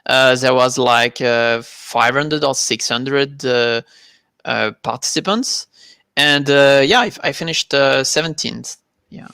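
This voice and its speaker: A man from France with a French accent, a competitive player giving a halting, filler-filled account as a guest on a live video-call interview. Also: mid-range voice, creaky, sharp tone, clean tone, dry recording, clean studio sound. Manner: halting, many fillers and repeated words.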